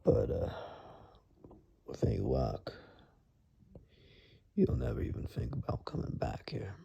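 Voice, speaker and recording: A man playing a gruff, protective forest dweller in an ASMR roleplay, speaking softly and huskily in a hesitant murmur, often whispering. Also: extremely low pitch, huge pitch swings, measured pace, dull audio, a little hiss or hum, heavily acted. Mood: cautious, warning. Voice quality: soft, breathy, husky, often whispered.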